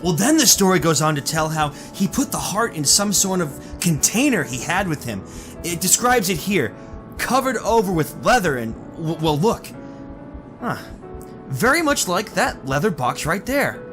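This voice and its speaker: An actor playing a chatty, affable married passenger in a retro radio drama. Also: male voice, high-pitched, very animated, normal speed, music in the background. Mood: intrigued.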